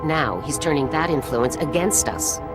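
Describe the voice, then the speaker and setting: A woman playing a stern, authoritative military general, formal and measured, in a video game. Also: sits low, animated. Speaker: commanding officer. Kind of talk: video game voice acting, briefing. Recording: music behind the voice.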